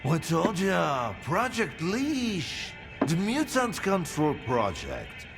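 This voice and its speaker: An actor playing an eccentric, boozy ex-scientist in a video game, sardonic and philosophical, drawling and musing with frequent hums. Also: male voice, a little high, huge pitch swings, slow, gravelly, music in the background, heavily acted. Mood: sardonic, rambling. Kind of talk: theatrical video game dialogue.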